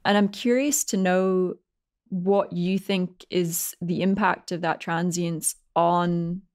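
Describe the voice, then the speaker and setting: Low-voiced woman hosting a podcast. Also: fairly even intonation, takes it slowly, a little mellow. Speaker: podcast host and interviewer. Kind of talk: interview questions and narration.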